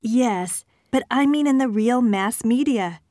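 A woman voicing students, a professor and an office clerk in scripted exam dialogues, with a clear, polite delivery. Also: fairly high pitch, a little slow, mellow, no room sound, clean studio sound.

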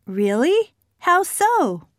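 A woman voicing students, a professor and an office clerk in scripted exam dialogues, with a clear, polite delivery. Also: extremely high pitch, very animated, extremely slow, no room sound, studio quality.

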